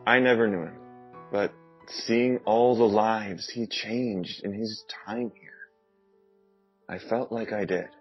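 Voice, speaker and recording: A man's voice: fairly low pitch, slow, breathy edge, full character voice. A man playing a sincere, reflective mourner in a fan audio drama, speaking somberly. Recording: dull lo-fi mic, music behind.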